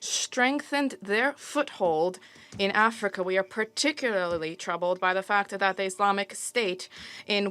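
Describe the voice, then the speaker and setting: A woman interpreting a formal diplomatic statement live, in a halting, stop-and-start delivery with self-corrections. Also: mid-range voice, a bit bright, smooth. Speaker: simultaneous interpreter.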